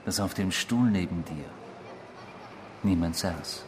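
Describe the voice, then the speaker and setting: An actor in a German radio drama playing a mysterious, smug, needling man who claims to be a murderer, with a husky voice. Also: deep voice, takes it slowly, background noise. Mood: mocking, familiar. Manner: needling, sardonic, self-assured.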